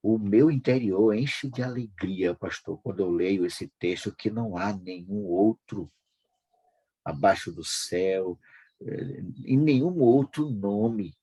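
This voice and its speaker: A Brazilian man hosting a devotional broadcast, speaking informally and fervently with a husky voice, repeating phrases for emphasis. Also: on the low side, mellow, rough recording. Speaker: host of a devotional prayer broadcast.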